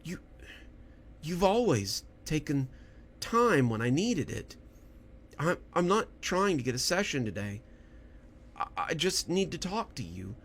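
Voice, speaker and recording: A man performs a desperate writer from a horror tale. The voice sits high and strained with pleading, halting and stammering through each phrase, lost and confused. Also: big pitch swings, a bit bright, full character voice. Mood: pleading, disbelieving. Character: frantic stuttering male writer, confused and pleading. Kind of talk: horror audio story character voice.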